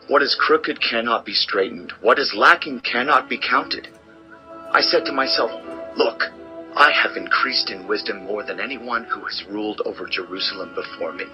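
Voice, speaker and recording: A weary, brooding old-world king and teacher, played by a male actor, speaks in a lowish voice with solemn, declamatory weight. The sound is slightly dull, with music underneath, as in a dramatized scripture recording. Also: close to an everyday voice. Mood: grave, sorrowful. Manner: solemn, declamatory, theatrical emphasis. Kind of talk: dramatized audio Bible reading. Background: background music.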